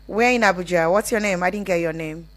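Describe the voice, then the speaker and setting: A Nigerian woman hosts a live phone-in on the radio. Her voice sits a little low for a woman as she talks to callers and listeners. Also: fast talker. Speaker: radio phone-in show host. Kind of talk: live call-in radio hosting.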